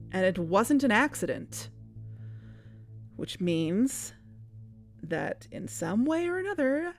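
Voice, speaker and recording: An actor playing a wary, probing, level-headed woman investigator in an audio drama podcast. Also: on the high side.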